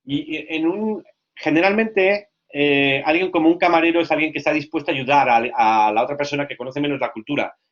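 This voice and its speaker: Male lecturer, teacher trainer; lively, questioning delivery; a bit high; muffled low-fi audio. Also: brisk. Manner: lively, asks frequent check questions.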